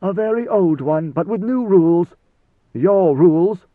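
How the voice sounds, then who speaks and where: A man voicing an ancient, godlike ruler who speaks in a calm, knowing, lordly and deliberate way, as in an audiobook. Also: high-pitched, pitched above the performer's own voice. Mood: wry, imperious. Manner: measured, deliberate, formal. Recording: slightly roomy, phone-like narrow sound.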